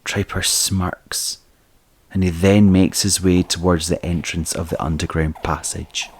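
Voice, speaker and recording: Male narrator for audio drama, low-pitched, scripted storytelling. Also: level tone, measured pace, husky.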